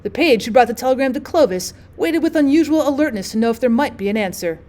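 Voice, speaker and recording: A woman's voice: high-pitched, expressive, fast, a little mellow. A woman reading a classic short story aloud as scripted audiobook narration, like a teacher for her students.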